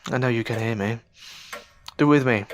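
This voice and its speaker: A man's voice: mid-range voice, brisk, slight background noise, close to an everyday voice. Man acting a male apprentice magician, self-doubting, desperate, formal old-fashioned wording, audio drama. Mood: frustrated, reluctant. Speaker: voice actor in an amateur audio drama.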